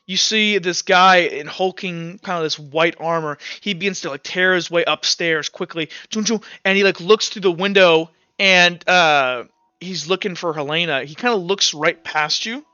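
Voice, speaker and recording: A man's voice: high-pitched, normal speed, sharp tone, clean tone, no room sound, highs cut off. A man narrating as game master of a tabletop roleplaying game, animatedly acting out the action as he improvises.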